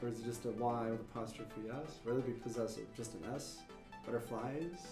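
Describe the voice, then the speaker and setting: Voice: medium pitch, fairly quick, mellow. Playful trivia co-host in an echoey room. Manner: playful, jokey asides, sing-song noises. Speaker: trivia co-host reading answer options. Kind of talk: casual live-stream banter. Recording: echoey room with faint music.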